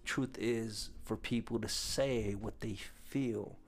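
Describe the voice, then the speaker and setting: Blunt, swearing man with a low voice. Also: steady tone, slow pace, soft background music. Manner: blunt, profane, emphatic. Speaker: podcast host. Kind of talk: casual podcast chat.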